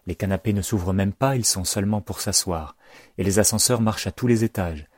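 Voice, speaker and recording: A man voicing a tender, easily delighted mother who speaks softly and affectionately in an audiobook. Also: on the low side, brisk, no echo, clean studio sound, close to an everyday voice. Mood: tender, wistful. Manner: soft, affectionate.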